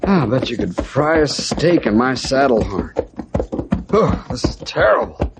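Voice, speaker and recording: An actor playing an earnest, weary young ranger sidekick in an old radio western, with plain-spoken delivery. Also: male voice, mid-range voice, very animated, unhurried. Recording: noisy old radio recording.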